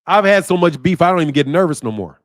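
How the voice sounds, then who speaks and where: Male streamer host, high-voiced, slangy, animated off-the-cuff boxing talk. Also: fast. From Chicago area. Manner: animated, slangy, loud outbursts and laughter. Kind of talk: casual livestream talk. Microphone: streaming mic.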